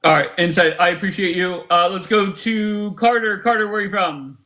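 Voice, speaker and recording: A man hosting a live call-in debate stream, brisk and dry, cutting callers off when he has heard enough. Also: sits high, pushed and bright. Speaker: livestream host moderating callers.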